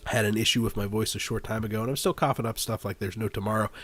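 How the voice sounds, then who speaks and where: A man's voice: on the low side, fairly even intonation, quick pace, a bit bright, husky. Nasal, stuffed-up man casting a game. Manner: rambling, with frequent "uh" fillers. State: stuffy nose from flu. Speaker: game caster. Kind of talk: casual game commentary.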